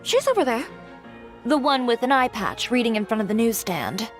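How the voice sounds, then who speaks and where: Woman as self-assured, lively-pitched young designer. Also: moderate pace, soft background music, close to an everyday voice. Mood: confident. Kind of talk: video game character dialogue.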